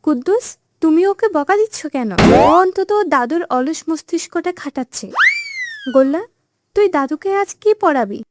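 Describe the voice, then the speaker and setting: A woman's voice: high voice, animated, moderate pace, background noise. A woman voicing a feisty, proud village mother, exclaiming and questioning in a comic cartoon. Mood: approving.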